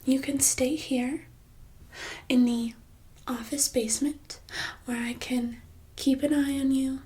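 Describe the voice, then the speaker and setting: A woman playing a smug, controlling boss in a soft-spoken ASMR roleplay, with condescending, mock-sweet, drawn-out delivery. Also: high-pitched, flat delivery. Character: smug, controlling female boss holding an employee captive.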